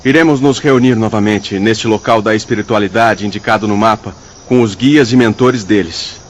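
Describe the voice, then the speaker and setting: An actor playing a calm, decisive spirit leader in a radio drama, speaking in a measured, formal way. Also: male voice, fairly low pitch, muffled recording, slight background noise, close to an everyday voice. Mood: calm, purposeful.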